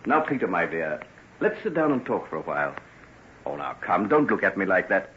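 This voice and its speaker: Low-voiced, troubled gentleman doctor, old radio. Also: big pitch swings, brisk. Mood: tender, coaxing. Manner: earnest, theatrical, formal phrasing. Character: troubled, remorseful gentleman doctor proposing marriage. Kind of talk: old-time radio drama acting. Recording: muffled, noisy old radio recording.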